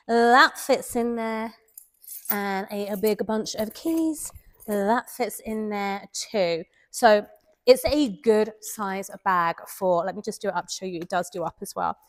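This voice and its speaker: Nasal, stuffy-voiced woman reviewing a handbag. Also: fairly high pitch. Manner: chatty and enthusiastic. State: nasal from a head cold. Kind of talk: casual product review vlog.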